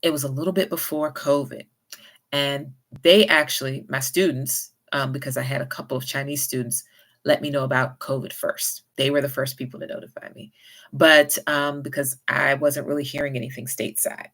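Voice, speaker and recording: A woman with a light Southern US accent, talking at an unhurried pace and giving friendly, encouraging advice to her viewers. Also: very deep voice, flat delivery, a bit bright. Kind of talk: casual advice vlog.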